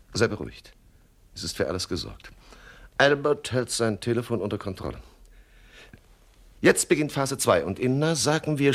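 An actor playing a scheming, smooth-talking swindler in a German radio crime comedy, jovial, wry and very sure of himself. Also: male voice, fairly low pitch. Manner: jovial, self-assured, wry. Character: smooth-talking, scheming swindler running a fake job agency.

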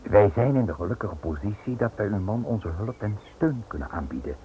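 A man acting a smooth, menacing Italian financier in a radio play, polite, insinuating and pressing. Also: lowish voice, lively intonation, a little fast, mellow, close to an everyday voice. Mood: insinuating politeness. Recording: tinny, noisy old radio play recording.

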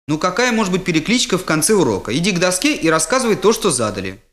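A man's voice: sits high, very animated, fast talker, a bit bright. A man as a firm, exasperated schoolteacher. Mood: firm, patient. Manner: firm, curt, instructing. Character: strict male literature teacher, patient but increasingly exasperated.

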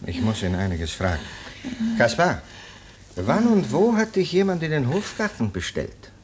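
An actor playing a stern, sceptical police lieutenant in a German radio drama, with a curt, probing, authoritative manner. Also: male voice, medium pitch, huge pitch swings, moderate pace, breathy edge.

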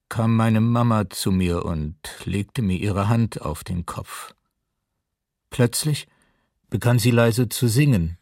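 A man's sorrowful childhood testimony for a radio documentary drama. The voice is gravelly and slightly husky, recorded very dry, with a tender manner. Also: fairly low pitch, a little mellow, no room sound, full character voice.

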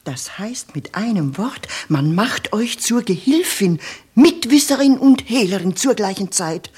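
Very husky, anxious lady's maid. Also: medium pitch, lively intonation, measured pace, a little mellow. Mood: ominous warning. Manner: dramatic, foreboding. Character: anxious, suspicious lady's maid, full of dire warnings. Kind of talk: 1950s German radio play acting.

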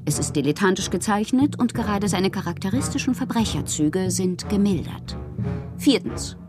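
A woman playing a moody, fanciful bohemian poet in a radio drama, reading her confessional letters aloud with expressive, theatrical, poetic phrasing. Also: medium pitch, normal speed, music in the background, close to an everyday voice. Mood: wry, mischievous.

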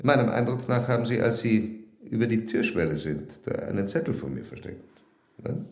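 An actor in a radio play as a self-assured, probing psychoanalyst who speaks in formal, precise, bookish sentences. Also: male voice, mid-range voice, big pitch swings, normal speed, a little mellow, breathy edge, dry recording. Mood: suspicious, probing. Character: probing, self-assured doctor and psychoanalyst, old-time Vienna. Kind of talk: radio play acting with first-person case narration.

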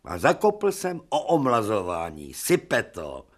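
A man's voice: on the low side, huge pitch swings. An actor playing an irascible, sarcastic older male professor in a radio drama, lecturing with scorn and emphasis. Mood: musing.

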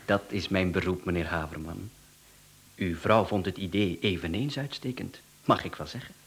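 A low-voiced, courteous Flemish villain. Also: male voice, normal speed. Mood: businesslike, reassuring. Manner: polite, businesslike, mocking politeness. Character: smooth, courteous male contract killer and swindler, unflappable. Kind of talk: radio play acting.

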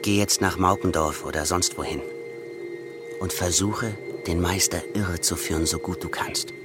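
A man playing a shrewd, secretive mill hand who passes for a simpleton, speaking in a deliberate, knowing radio play manner. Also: sits low, steady tone, natural voice. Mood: grave, confiding. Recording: music clearly behind the voice.

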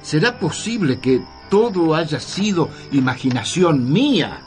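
An old Argentine writer, slow, expressive. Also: male voice, on the high side, faint music behind. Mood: bewildered. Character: old male scriptwriter, earnest, astonished, questioning narrator. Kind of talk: radio drama acting and storytelling.

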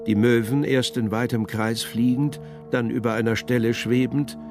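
An actor playing a solemn, measured narrator with an elegiac manner in a literary radio drama. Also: male voice, mid-range voice, steady tone, over music, natural voice.

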